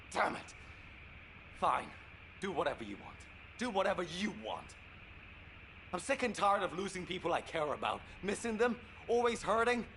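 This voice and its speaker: A man's voice: high-pitched, big pitch swings, a little slow, pauses often, fairly bright tone, a little hiss or hum, full character voice, low-quality recording. An actor playing a worried young man who pleads emotionally and with exasperation in a video game drama. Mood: exasperated, hurt.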